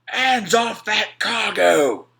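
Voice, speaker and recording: A man's voice: high voice, lively intonation, extremely slow, vocal fry, sharp tone, voice pushed up, full character voice. A man voicing a bossy, bad-tempered ship's officer who barks curt orders in a storybook reading. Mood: angry. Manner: barking, curt.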